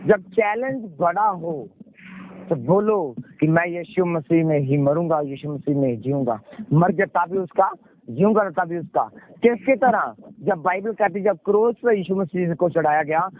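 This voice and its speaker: A male pastor with a Punjabi accent preaching in an exhorting way, repeating phrases and asking questions that he answers himself. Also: sits high. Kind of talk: sermon with rhetorical questions, call and response. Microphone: conference call. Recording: muffled, noisy conference call audio.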